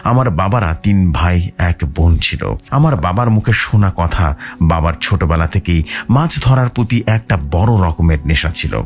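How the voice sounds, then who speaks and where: A man's voice: deep voice, lively intonation, moderate pace. A man narrating a scripted horror story in a dramatic way. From West Bengal, India.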